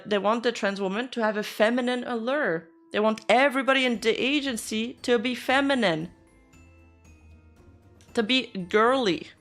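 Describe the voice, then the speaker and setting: A woman's voice: fairly high pitch, fairly bright tone. A woman VTuber streamer giving casual reaction commentary, blunt and sarcastic, swearing freely.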